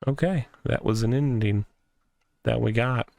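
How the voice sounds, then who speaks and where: A man's voice: mid-range voice, unhurried, vocal fry, darkish tone, dry recording. A man giving casual, off-the-cuff commentary as he plays through a game. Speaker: gaming playthrough host. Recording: music behind the voice.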